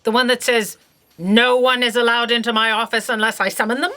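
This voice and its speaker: A woman's voice: a little high, level tone, a little fast, bright, forceful tone. A woman playing an imperious, indignant office boss in a comic audio drama, bossy, officious and exasperated.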